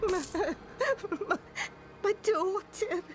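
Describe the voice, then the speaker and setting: Anguished mother, very slow. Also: very high voice, steady tone, exaggerated voice. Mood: anguished, vengeful. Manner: halting, tearful, bitter. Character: anguished, vengeful grieving mother in dark fantasy. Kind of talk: dramatic video game acting. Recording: music behind.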